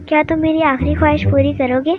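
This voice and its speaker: Very high-voiced clever little girl, played by a woman. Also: level tone, quick pace, close to an everyday voice. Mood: sweet. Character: clever young girl tricking her captor. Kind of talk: children's cartoon story dub. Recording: dull sound with music bed.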